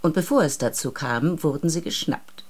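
An actress playing a composed, resolute rebel agent in a science-fiction radio drama, speaking in a measured, matter-of-fact way. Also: female voice, low voice, a little mellow. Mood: calm, explaining.

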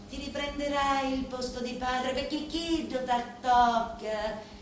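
An actress playing a bitter, scheming woman of a mafia family in a stage drama, with a Sicilian accent and a deliberate, theatrical delivery that swells suddenly. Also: a little high, a bit bright. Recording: very echoey room, faint background noise.

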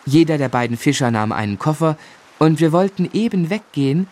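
An actor playing a grown man gently and wistfully recounting his boyhood as a measured radio drama narrator. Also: fairly high pitch, animated, lightly acted. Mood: composed. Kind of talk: literary first-person narration in radio drama.

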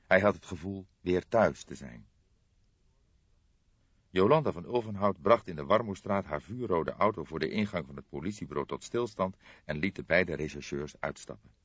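A man's voice: sits low, slightly rough. A man reading a crime novel as an audiobook narrator, in scripted storytelling style. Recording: dull, roomy audiobook recording.

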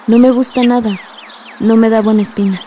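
An actress playing a wary, affectionate young girlfriend in a radio drama, speaking in a soft, fretful way. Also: a little high, fairly even intonation, a little fast, slight background noise, lightly acted. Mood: suspicious.

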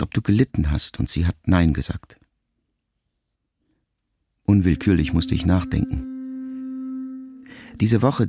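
An actor playing a grieving grown son, a writer, in an intimate, measured literary radio monologue addressed to his mother. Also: low voice, gravelly, warm tone, husky, no echo, exaggerated voice. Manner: measured, reflective, intimate, with deliberate pauses.

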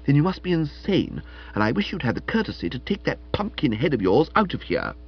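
A man's voice: a little high, sing-song, fairly quick, breathy edge, muffled recording, pitched above the performer's own voice, full character voice. Man putting on haughty, snappish young woman. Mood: haughty, indignant. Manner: stiff, indignant, snappish. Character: haughty, rattled modern young woman, sharply imperious. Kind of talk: comic audiobook character acting.

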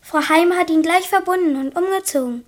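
Very high-voiced little schoolgirl. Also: fairly quick. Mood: tattling. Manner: childlike, eager, matter-of-fact tattling. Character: little schoolgirl, chatty tattling younger sister. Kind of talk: radio play acting.

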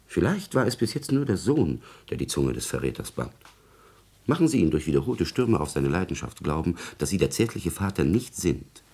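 An actor playing a servile, scheming court secretary in a classical radio drama, insinuating and calculating in a formal, obsequious manner. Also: male voice, deep voice, big pitch swings, normal speed, darkish tone, husky, heavily acted.

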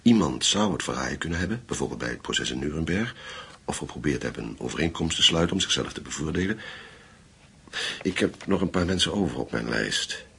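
An actor playing a grave, methodical investigator in a radio drama, with a husky voice and a measured, deliberate delivery. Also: male voice, rumbling low, animated, fairly bright tone, exaggerated voice. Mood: thoughtful, probing. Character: grave, methodical male investigator piecing together a wartime secret. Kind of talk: Dutch radio play acting.

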